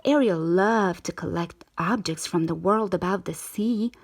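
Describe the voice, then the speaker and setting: A woman reading a children's storybook aloud in an expressive, measured storytelling manner. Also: lowish voice. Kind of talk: scripted storybook narration, read aloud.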